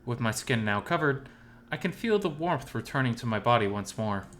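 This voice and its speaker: A man's voice: medium pitch, animated, normal speed, a bit bright, soft background music. A man reading scripted first-person story narration as the narrator.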